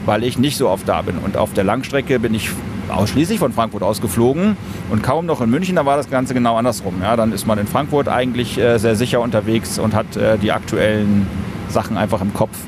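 Man explaining over clear background noise. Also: fairly low pitch, a little fast. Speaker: airline cargo pilot. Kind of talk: interview answers, explanatory chat. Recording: clear vehicle-like ambient noise.

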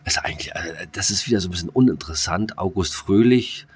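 A man's voice: sits low, quick pace, breathy edge. A man co-hosting a casual podcast, rambling and joking with many fillers. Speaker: podcast co-host.

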